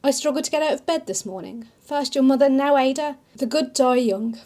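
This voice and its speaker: A woman's voice: sits high, fairly quick, mellow, voice pushed up, close to an everyday voice. A woman voicing an affectionate older woman shopkeeper who calls everyone dear, in a storybook reading. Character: motherly older woman shopkeeper, fond and fussing.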